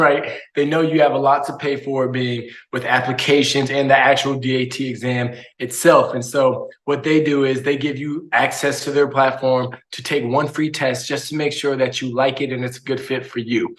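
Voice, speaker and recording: A man hosting the show, reading a sponsor pitch to viewers in an upbeat, persuasive way over a video call. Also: echoey room, rough recording. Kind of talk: promotional pitch.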